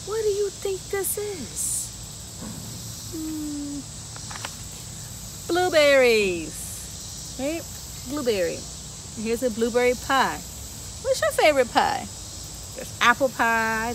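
A woman's voice: a little high, animated, takes it slowly, pauses often, a bit bright, poor audio. A woman reading a picture book aloud to children on a garden bench outdoors, playfully asking them questions between the rhymes. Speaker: children's story time reader. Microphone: camera a few feet away. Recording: outdoor recording with clear insect noise.

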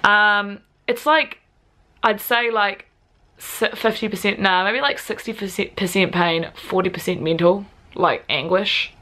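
Raspy-voiced young New woman vlogging. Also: mid-range voice, a little slow, bright, forceful tone. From New Zealand. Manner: chatty, filler-heavy with frequent "like". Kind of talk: casual vlog talk to camera. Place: apartment living room and parked car. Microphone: camera held at arm's length.

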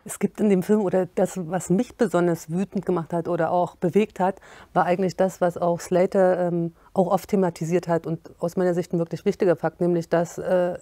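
A middle-aged woman moderating an interview, thoughtful and pausing to search for words, in an indoor studio. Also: lowish voice, flat delivery, dark tone, no echo.

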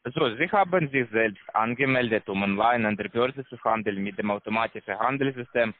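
A man with a foreign accent, not a native German speaker, giving a halting, repetitive telephone sales pitch as a call center salesman. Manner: halting, repetitive, broken grammar. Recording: tinny narrow phone line.

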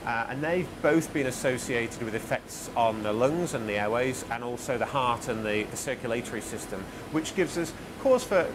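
A man's voice: mid-range voice, noisy background. Careful male scientist with humming background. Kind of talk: interview.

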